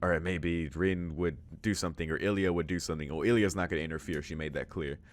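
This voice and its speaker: A man's voice: low-pitched, fairly even intonation, fast, slight background noise. A man reading game text aloud and adding informal, exclamatory reaction commentary as a let's play host. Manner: informal, exclamatory asides between read passages.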